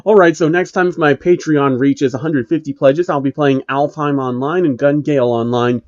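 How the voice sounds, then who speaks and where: A man's voice: on the high side, steady tone, moderate pace, a little mellow, no echo, dull audio, lightly acted. A man voicing a sulky, foul-mouthed male game streamer with a whiny, grumbling manner in a fan story. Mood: flat, casual.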